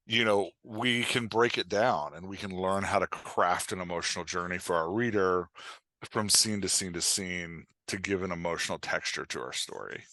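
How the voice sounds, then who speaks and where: Bright-voiced, low-pitched man explaining casually. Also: moderate pace, no echo. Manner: informal, rambling, acts out imagined reactions. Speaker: podcast guest, writing coach. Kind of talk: casual explanatory interview talk.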